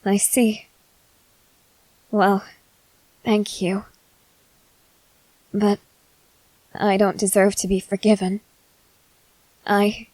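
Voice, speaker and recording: A woman playing a guarded young female soldier, blunt but softening, in halting roleplay ASMR. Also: mid-range voice, dry recording, low-quality recording. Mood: regretful. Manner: halting, hesitant, earnest delivery.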